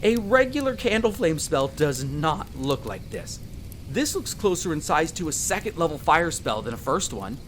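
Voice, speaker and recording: Young elf explorer acted out, animated swooping pitch, mid-pitched, upbeat, protective, audio roleplay, clear background ambience noise. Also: moderate pace, close to an everyday voice. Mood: excited. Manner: animated, reassuring, chatty. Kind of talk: roleplay audio drama addressed to the listener.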